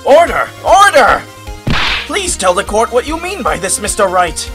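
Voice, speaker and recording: A man playing a pompous old courtroom judge in an amateur fan dub of a game, formal and ponderous, with a husky voice. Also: high-pitched, wildly swooping pitch, measured pace, music in the background, heavily acted. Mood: commanding. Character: pompous, deliberating old male courtroom judge, easily swayed.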